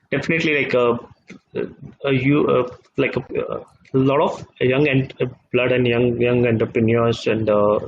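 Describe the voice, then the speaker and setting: A man speaking as a guest in a live online discussion, halting often with fillers and repeated words. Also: mid-range voice, highs cut off, slight background noise. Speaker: guest economist.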